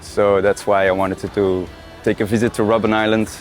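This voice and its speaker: A man's voice: on the low side, flat delivery, fairly quick. A man from Belgium, speaking casually to camera as a tour participant. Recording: music behind the voice.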